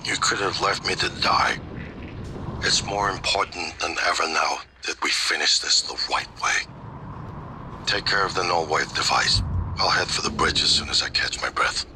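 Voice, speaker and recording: An actor playing a weakened but determined man leading a survival mission in a video game, earnest and deliberate with a breathy delivery. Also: sits low, lightly acted. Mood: weary resolve. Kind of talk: scripted video game acting. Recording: clear background noise.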